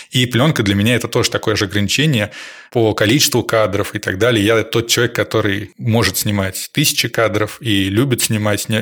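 A man's voice: lowish voice, a bit bright. A man speaking as a guest on a podcast, talking in long, thoughtful stretches with frequent fillers. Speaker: podcast guest, landscape photographer. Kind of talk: casual podcast conversation.

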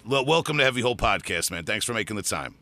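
Bright-voiced chatty podcast host. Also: male voice, lowish voice, animated, fast talker, no room sound. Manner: friendly, chatty, laughs easily. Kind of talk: casual interview.

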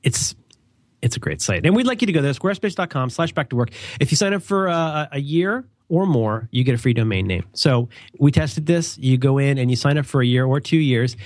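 A man's voice: mid-range voice, expressive, fairly quick, dry recording. A stuffed-up guy co-hosting a podcast from a dry, close mic, wandering off on wry tangents between sponsor plugs. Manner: rambling, digressive, wryly joking. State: congested with a cold. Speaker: podcast co-host. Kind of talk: casual podcast chat and ad read.

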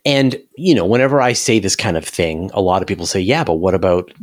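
A man's voice: low voice, fairly quick. A man talking casually about science as an interview guest, emphatic and repeating words to drive points home.

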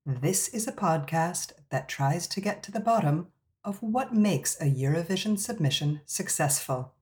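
A man's voice: fairly high pitch, animated, a little slow, a little mellow. A man hosting a podcast, welcoming guests with upbeat introductions over a remote call mic. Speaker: podcast host. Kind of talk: casual podcast chat.

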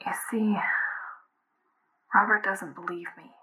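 An actress playing an anxious, haunted young woman confiding in a diary in halting whispers, in an audio drama. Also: medium pitch, fairly even intonation, rough recording. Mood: hushed, uneasy. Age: young adult. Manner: halting, hushed, breathy whispering. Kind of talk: found-footage audio drama monologue.

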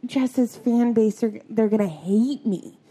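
A young woman with a squeaky voice, chatting on a podcast and telling stories with frequent giggles. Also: takes it slowly, warm tone, husky, rough recording. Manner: giggly, chatty storyteller, laughs often. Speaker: podcast guest. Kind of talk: casual chat.

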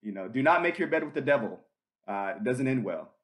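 A man's voice: mid-range voice, lively intonation, fairly quick. A middle-aged man hosting a casual chat, earnest and full of fillers and restarts. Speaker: podcast host.

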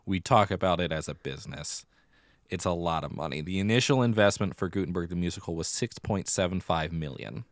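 A man's voice: low voice, a little fast, no room sound, clean studio sound. A man co-hosting a podcast, chatting casually and emphatically about musical theatre. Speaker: podcast co-host.

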